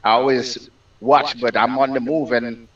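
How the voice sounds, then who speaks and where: A man in his thirties with a Jamaican accent, a guest in a casual conversation, speaking in a laid-back way that comes in quick bursts. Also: mid-range voice, fairly even intonation, a bit bright, distant mic, dull audio.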